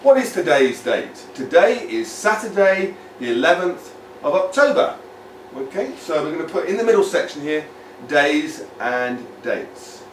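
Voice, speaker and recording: A British man from the United Kingdom, an English teacher giving a lively lesson to children, repeating words and asking the viewer questions. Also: a little high, measured pace, slight background noise. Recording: echoey room, mic at a distance.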